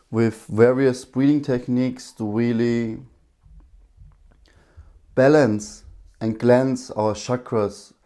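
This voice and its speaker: A man teaching breathwork in a live stream, explaining at an unhurried pace with a strong voice, frequent restarts and loose grammar. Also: medium pitch, mellow.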